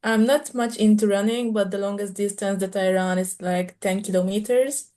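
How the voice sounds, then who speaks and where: A woman's voice: medium pitch, fairly even intonation, normal speed, dark tone. A woman from Hungary, introducing herself casually.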